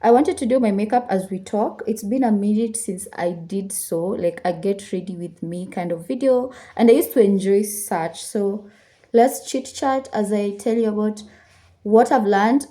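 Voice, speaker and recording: Mellow-voiced young woman vlogging. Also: medium pitch, normal speed. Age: 20s. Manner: casual, rambling, mixes in Swahili phrases. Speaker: lifestyle YouTuber. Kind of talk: chatty get-ready-with-me talk while doing makeup. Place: indoors at home.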